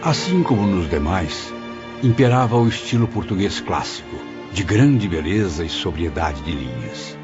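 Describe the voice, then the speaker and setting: A man's voice: low voice, expressive, moderate pace. A man playing a dignified departed memoirist, narrating formally in an audio drama. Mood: reflective. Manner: formal, descriptive. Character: dignified deceased male memoirist recalling the afterlife. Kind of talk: scripted first-person dramatised narration. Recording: dull lo-fi audio with music behind. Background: clear background music.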